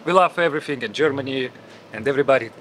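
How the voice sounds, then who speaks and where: A man's voice: medium pitch, rapid-fire, a bit bright, slight background noise. A man in his thirties with a Russian accent, a juggler playfully introducing himself. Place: theatre stage.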